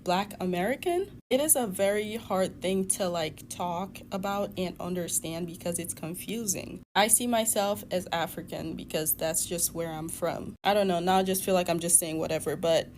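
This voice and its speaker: A woman's voice: lowish voice, normal speed, few pauses, a little mellow, a little hiss or hum. A woman vlogging reflectively, speaking in a hesitant way. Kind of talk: reflective vlog.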